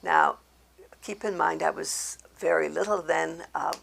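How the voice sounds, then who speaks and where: An elderly woman from Slovakia, a native German speaker, recounts her memories in a halting, deliberate, measured way, as in an oral history testimony. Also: low voice, very animated, creaky, a bit bright. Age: 80s. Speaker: Holocaust survivor giving testimony.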